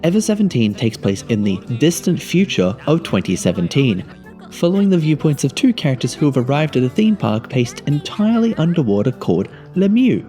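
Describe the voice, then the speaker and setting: A man's voice: a little high, very animated, a little fast, mellow, soft background music. A man reading a scripted video game review as narration.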